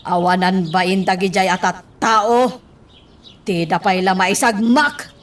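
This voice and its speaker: A woman's voice: medium pitch, a little slow, far from the mic, natural voice. A woman playing a bitter, fretful mother in an Ilocano radio drama, complaining in an aggrieved way with an Ilocano accent. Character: fretful, bitter mother upset over lost money.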